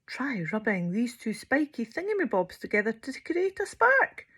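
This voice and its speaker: Bossy female hippo for a picture book, voiced by a woman: high, loud, swooping, full of eager commands. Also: big pitch swings, normal speed, breathy edge, full character voice. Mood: bossy, excited. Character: bossy, excitable female hippo dreaming of space. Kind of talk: children's storybook character voice.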